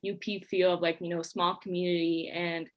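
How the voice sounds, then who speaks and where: A woman's voice: lowish voice, little pitch movement, moderate pace, highs cut off. A young woman from California, a student introducing herself on a video call in a chatty, enthusiastic way with lots of fillers. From California, USA. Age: young adult. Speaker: student scholarship recipient. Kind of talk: casual self-introduction.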